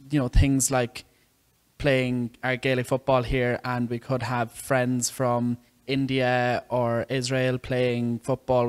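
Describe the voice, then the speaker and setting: A young man in his twenties from the southeast of Ireland with an Irish accent, a veterinary student giving earnest, thoughtful interview answers. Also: mid-range voice. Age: 20s.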